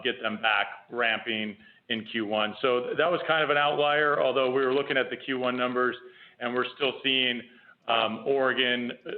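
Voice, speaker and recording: A man speaking as a company executive on a conference call, explaining business in a measured way over the phone. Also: mid-range voice. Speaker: company executive answering analysts. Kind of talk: earnings conference call answers. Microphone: telephone. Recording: tinny phone conference line.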